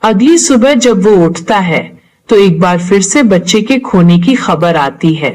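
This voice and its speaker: A woman narrates a scripted horror story for a cartoon. Her pitch is average for a woman and moves with lively, animated swings through each sentence. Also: unhurried, poor audio.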